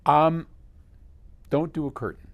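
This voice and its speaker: A man's voice: a little high, slow. A man hosting a casual explainer, answering a question in a folksy, conversational way as he thinks aloud. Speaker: host answering a listener's audio question. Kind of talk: casual explainer, reading a letter then advising.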